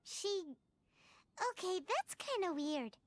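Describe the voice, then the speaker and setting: Brief: a bubbly pint-sized fairy girl, very high and bouncy, with game music under her. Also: sing-song, slow pace, heavily acted. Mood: uneasy. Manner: refers to herself in third person, bubbly. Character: tiny floating female fairy companion, chirpy and excitable. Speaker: game companion character. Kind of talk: video game voice acting.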